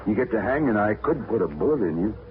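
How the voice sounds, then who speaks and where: Drawling western rancher, lowish voice, plainspoken, phone-thin old radio sound, hiss. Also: male voice, very animated, narrow, tinny audio. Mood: matter-of-fact. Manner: slow rural drawl, blunt. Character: rough frontier man leading a lynching, plainspoken. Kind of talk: 1950s radio drama acting.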